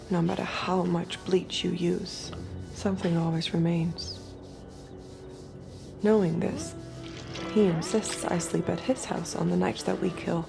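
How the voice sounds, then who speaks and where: An actress playing a subdued artificial young woman, a haunted reluctant killer, in a quiet, intimate audio drama monologue. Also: lowish voice, gravelly. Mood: numb, bitter. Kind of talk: dramatic first-person audio fiction narration.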